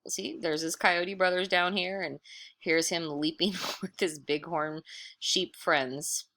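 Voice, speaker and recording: A woman who sounds like a teacher, reading a children's story expressively and pausing for chatty explanations. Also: on the low side. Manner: expressive, encouraging, pauses to explain words and pictures. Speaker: teacher reading aloud to pupils. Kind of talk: animated children's read-aloud with chatty explanatory asides.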